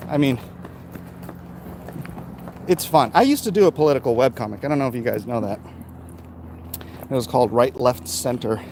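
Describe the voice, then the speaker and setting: A man in his forties chatting casually and haltingly as a livestream host while walking outside, talking into a handheld phone. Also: mid-range voice, animated, darkish tone. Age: 40s. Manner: rambling, halting, breaking off to talk to people with him. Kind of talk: casual livestream chat while walking outdoors, reading viewer comments aloud. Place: outdoors, walking along a street. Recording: outdoor street noise on phone.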